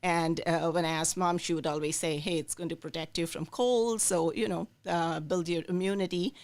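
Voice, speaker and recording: A middle-aged woman from India talks through her career as a podcast guest. Her voice sits a little low and she fills pauses with plenty of ums. She tells her story in a warm, unhurried way. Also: steady tone. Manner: warm, storytelling with many fillers. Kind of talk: interview, telling her life story.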